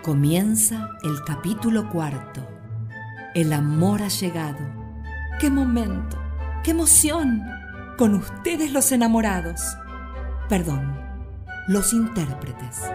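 A woman's voice: medium pitch, expressive, takes it slowly, pauses often, over music. A woman announcer giving a scripted introduction to a radio drama.

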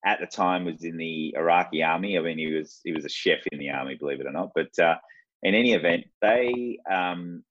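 An Australian man in his forties, a guest telling his life story in an unhurried, reflective way. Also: low-pitched, a bit bright. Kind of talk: casual interview talk. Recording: dull lo-fi sound.

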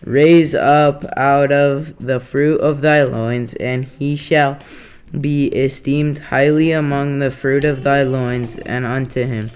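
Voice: medium pitch, fairly even intonation, slow pace, darkish tone, smooth. A person reading scripture aloud carefully, stumbling over some phrases. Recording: faint background noise.

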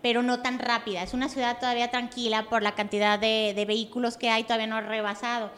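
A woman's voice: a little high, little pitch movement, fast, a bit bright, a little hiss or hum. A woman with a Mexican accent hosting a podcast, asking questions in a chatty way with lots of fillers. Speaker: podcast host. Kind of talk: casual interview chat.

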